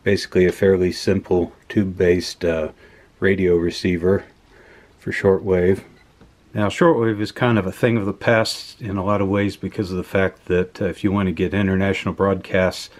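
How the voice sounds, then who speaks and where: Deep-voiced man, matter-of-fact. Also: level tone, normal speed, a little mellow. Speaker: host of an electronics teardown video. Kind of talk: casual unscripted hands-on demonstration. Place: indoor workbench.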